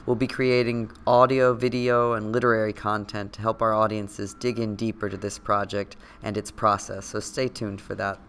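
A man hosting a podcast, hoarse from a cold, reading a scripted intro and then asking questions with many fillers. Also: fairly low pitch, little pitch movement, normal speed, few pauses, fairly bright tone, slight background noise. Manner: hesitant with many fillers when unscripted. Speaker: podcast host.

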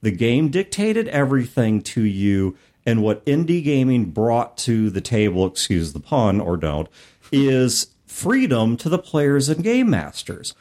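A man's voice: medium pitch, sing-song, a little slow, a little mellow. A middle-aged man hosting a podcast, talking conversationally and emphatically, often listing words one by one for effect. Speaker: podcast host. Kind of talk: conversational commentary on tabletop gaming.

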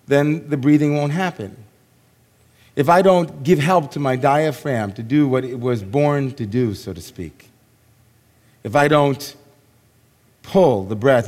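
A man, a rabbi, giving a sermon in a slow, reflective way with long pauses and a voice gone hoarse. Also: medium pitch, darkish tone. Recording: long hall reverb.

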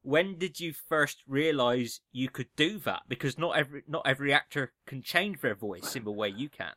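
A man's voice: medium pitch, lively intonation, moderate pace, sharp tone, dry recording, clean studio sound. A man hosting a podcast interview, asking questions in an admiring, enthusiastic way. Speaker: podcast host.

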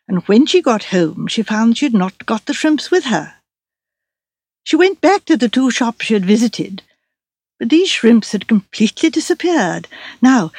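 Woman voicing a gentle, chatty elderly aunt. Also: mid-range voice, slightly rough, no echo, studio quality, exaggerated voice. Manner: mild, chatty, earnest. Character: gentle, kindly elderly spinster aunt, mildly fussy.

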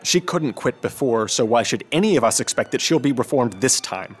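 A man's voice: medium pitch, expressive, brisk, slightly rough. Man as bitter, cynical teenage son. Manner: cynical, sardonic. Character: bitter, frustrated teenage son, high school senior age. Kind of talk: radio drama acting.

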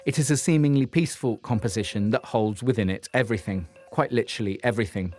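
A man hosting a podcast, reading scripted narration in a measured way, then interviewing a guest. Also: lowish voice, darkish tone, faint music behind. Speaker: podcast host.

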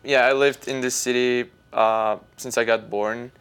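Young man, Czech accent, smooth tone, even flat pitch, casual podcast guest. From Czech Republic. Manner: halting, with many uh and like fillers. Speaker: guest hockey player.

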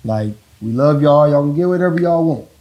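A man with a deep voice talking casually about parenting, rambling and often checking in with the listener. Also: brisk, muffled recording. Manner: relaxed, rambling, repeats "you know what I'm saying". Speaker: father in a couple interview.

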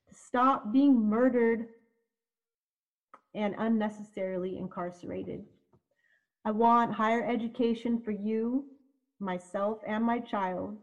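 A woman reciting a spoken-word piece in a measured, prayerful way. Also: mid-range voice. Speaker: event speaker. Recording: dull lo-fi audio.

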